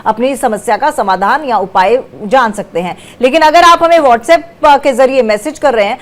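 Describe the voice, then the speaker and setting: A woman anchors a live astrology call-in program from a TV studio. She talks briskly and lays out each step for viewers, now and then breaking into a cough. Her voice sits fairly high. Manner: brisk, repeats points for viewers. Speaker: news channel anchor. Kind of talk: live TV call-in show hosting.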